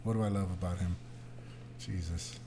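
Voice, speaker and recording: A man's voice: deep voice, steady tone, normal speed, dark tone, a little hiss or hum. A man hosting a podcast, chatting casually and teasingly with plenty of swearing. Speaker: podcast host. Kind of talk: casual joking group chat.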